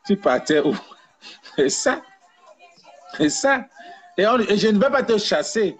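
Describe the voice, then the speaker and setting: A man's voice: sits high, very animated, a little slow, soft background music. A man from Cameroon, making an impassioned activist appeal, emphatic and repeating words as he urges. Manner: emphatic, repeats words and restarts while urging. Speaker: diaspora activist.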